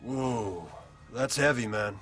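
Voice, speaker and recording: Sharp, sardonic game biker. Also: male voice, medium pitch, takes it slowly, soft background music. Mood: dry, sardonic. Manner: sarcastic, wisecracking, slangy. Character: sardonic, rough-edged male biker gang member, contemptuous wisecracker. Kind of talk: video game cutscene acting.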